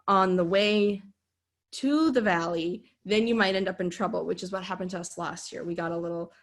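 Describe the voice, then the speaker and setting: A woman's voice: fairly low pitch, normal speed. A woman with a Minnesotan accent, a podcast guest chatting casually, bubbly, laughing often and rambling as she tells stories. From Minnesota, USA. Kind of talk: casual chat.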